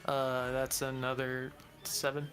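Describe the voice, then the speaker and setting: A man's voice: mid-range voice, monotone, slow, a bit bright, soft background music. A man chatting casually as a player in a tabletop role-playing game.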